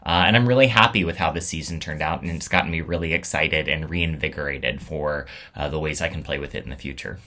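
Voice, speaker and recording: Deep-voiced man with a bright edge. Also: fast, hardly any pauses. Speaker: host of a storytelling show. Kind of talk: casual reflective vlog talk.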